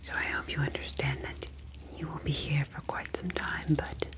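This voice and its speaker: A woman voicing a calm, clinical ocean alien scientist in an ASMR sci-fi roleplay, whispering breathily with formal, measured phrasing. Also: deep voice, close to an everyday voice. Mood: apologetic, explaining.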